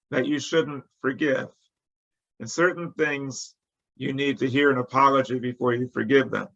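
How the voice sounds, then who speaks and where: A man speaking as a rabbi, giving conversational religious teaching in a deliberate storytelling way with emphatic phrasing. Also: mid-range voice, pushed and bright, dull audio. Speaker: rabbi, teacher of a weekly Torah study.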